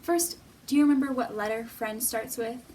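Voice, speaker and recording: A woman hosting a children's storytime, speaking in simple, deliberate, teacherly phrases with pauses and questions for young viewers. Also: a little high, mellow, recorded from a distance, a little hiss or hum. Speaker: children's library storytime host.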